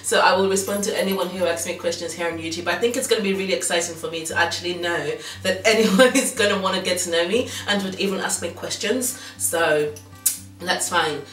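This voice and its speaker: A woman's voice: on the low side, fairly quick, a bit bright, faint music behind. A woman in her thirties from Nigeria with a slight Yoruba accent, chatting casually to camera as a fashion blogger, rambling with frequent ums and restarts. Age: 30s. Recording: roomy sound from far off.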